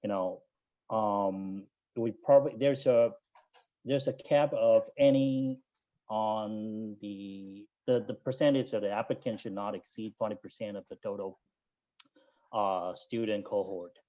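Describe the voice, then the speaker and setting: A man in his thirties with a Taiwanese accent and a low voice, talking things through in a relaxed interview. He thinks aloud, pausing and restarting as he goes. The audio comes through a video call and sounds dull and muffled. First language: not English. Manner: thoughtful, with frequent fillers and restarts. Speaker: guest, MBA alumnus. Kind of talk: casual interview chat.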